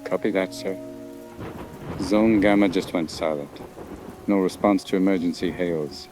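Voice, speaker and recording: An actor playing a dutiful army sergeant in a radio drama, giving grim reports in a formal, procedural, sober way. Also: male voice, deep voice, fairly even intonation, a little slow, a little mellow, soft background music.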